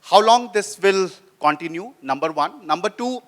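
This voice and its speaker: A hoarse man, questioning a panel from the audience without a microphone, growing insistent. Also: high-pitched, expressive, moderate pace, fairly bright tone. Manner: pointed, increasingly insistent questioning. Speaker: audience member asking a question. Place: conference hall audience. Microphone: speaking without a microphone.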